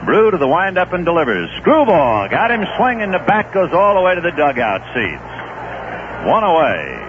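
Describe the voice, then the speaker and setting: A man calling a baseball game live on radio, with relaxed, conversational play-by-play and chatty asides. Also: on the high side, normal speed. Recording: dull old radio broadcast with noise.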